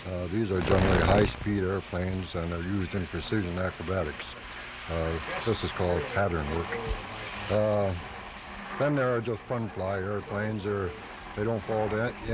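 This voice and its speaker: A man being interviewed as a hobbyist on live radio, explaining things casually and plainly. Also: deep voice. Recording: noisy home-taped live radio.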